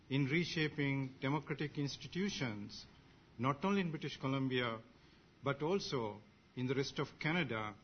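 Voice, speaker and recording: A man's voice: a little high, slightly rough. Sinhalese-native man from Sri Lanka, formal assembly speech, muffled lo-fi recording. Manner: measured, ceremonious, short phrases. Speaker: assembly member.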